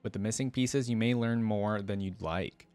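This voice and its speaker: A man reading aloud the part of a sly, smooth-talking woman fortune teller in a story. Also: lowish voice, moderate pace, a little mellow, close to an everyday voice. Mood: knowing, mysterious.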